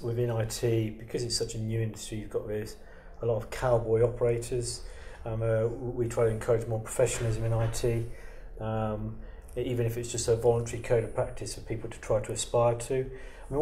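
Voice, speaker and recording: A man's voice: on the low side, a little mellow, reverberant, a little hiss or hum. A man interviewing a guest, building long questions full of "um" and "I mean". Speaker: interviewer, editor for an IT institute.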